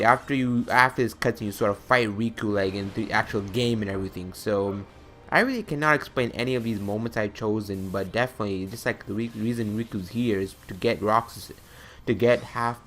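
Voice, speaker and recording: A man's voice: fairly low pitch, fairly quick, bright, forceful tone, slight background noise. A man giving casual, rambling fan commentary as a video creator, gushing and emphatic. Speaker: video creator presenting a top-five list.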